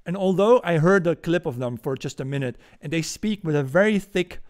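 A man in his forties with a Dutch accent, hosting a political commentary podcast from a room at home on a boom-arm studio mic, ranting indignantly. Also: lively intonation, fairly quick, darkish tone. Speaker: podcast host.

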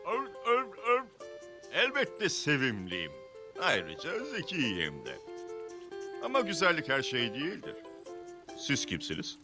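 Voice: medium pitch, wildly swooping pitch, slow, long pauses. An actor voicing a vain, self-assured talking sea lion who boasts and barks between phrases in a children's cartoon. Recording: music playing behind the voice.

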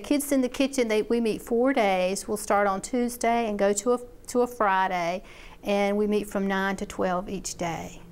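Middle-aged woman, community agent, conversational and filler-heavy, resonant clear tone. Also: medium pitch, moderate pace, a little mellow. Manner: chatty, with many fillers and restarts. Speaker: extension agent. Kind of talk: interview about a program.

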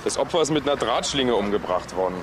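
An actor playing a blunt, worldly junior police detective with a casual, matter-of-fact manner in a German crime radio drama. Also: male voice, medium pitch, lively intonation, fast, close to an everyday voice. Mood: dry, assured. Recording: noisy outdoor ambience.

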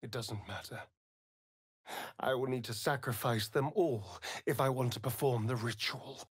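An actor playing a flamboyant, sardonic vampire rogue in a video game, with an arch, drawling, theatrical delivery. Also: male voice, medium pitch, unhurried, husky, no room sound, studio quality. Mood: subdued.

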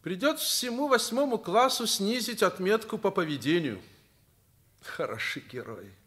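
A man's voice: high voice, lively intonation, normal speed, fairly bright tone. A middle-aged school principal in a Soviet radio drama. He is stern and wounded, moralizing in emphatic, reproachful phrases that rise and fall sharply. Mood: indignant. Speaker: stage actor. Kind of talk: Soviet radio play acting.

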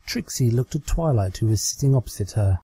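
A man's voice: medium pitch, expressive, brisk, dark tone, husky, no echo, studio quality. A man reading scripted fan fiction aloud as an amateur narrator. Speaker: amateur story reader.

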